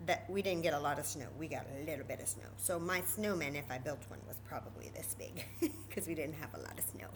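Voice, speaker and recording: A woman's voice: low voice, expressive, quick pace, background noise. An American woman hosting a children's storytime, speaking expressively and directly to young listeners, mixing read-aloud with chatty asides. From Texas, United States. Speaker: storytime reader and host.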